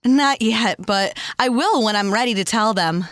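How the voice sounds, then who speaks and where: Laid-back female traveler, acted by a woman: higher-pitched, conversational, close dry recording, audio drama. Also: moderate pace, fairly bright tone, dry recording, natural voice. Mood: relaxed. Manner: casual, conversational.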